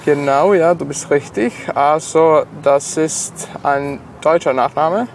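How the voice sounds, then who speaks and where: A man of twenty-five, a native Serbian speaker with a Serbian accent, answers interview questions haltingly, searching for words in a second language. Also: a little high, slight background noise. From Sombor, Serbia. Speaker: interview guest, engineering student.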